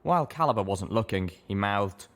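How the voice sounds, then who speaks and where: A man's voice: lowish voice, expressive, moderate pace, a bit bright. A man reading a scripted story as an audiobook narrator. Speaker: audiobook reader.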